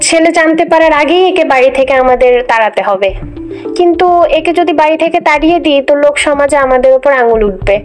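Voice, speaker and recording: A scheming older mother-in-law from a moral-story cartoon , acted by a woman. Her voice is extremely high, with a scolding, two-faced manner. Soft music plays underneath. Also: animated, fast talker. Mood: angry, plotting.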